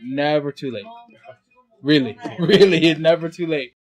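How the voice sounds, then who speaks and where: A man in his thirties being interviewed, speaking reflectively with soft trailing endings. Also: on the high side, measured pace. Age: 30s. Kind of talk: casual interview.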